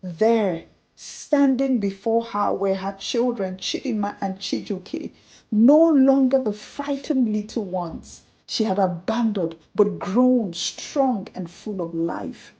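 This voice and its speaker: A woman narrating a scripted folktale as a storyteller, in an unhurried, measured delivery. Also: mid-range voice, dark tone, highs cut off. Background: background music.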